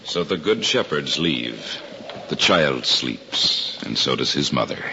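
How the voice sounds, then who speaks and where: An actor in a 1950s radio drama playing a gentle, solemn carpenter with a stately, reverent manner. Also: male voice, extremely low pitch, very animated, slow pace, noisy background, exaggerated voice. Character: gentle, solemn carpenter, protective new father. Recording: muffled old radio recording.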